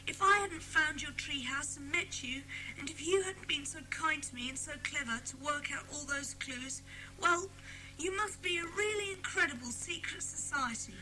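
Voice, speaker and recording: A woman playing a bright, high-voiced British boy. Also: normal speed, soft background music, low-quality recording. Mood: grateful, earnest. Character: grateful, earnest young boy in trouble. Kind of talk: dramatised children's audiobook acting.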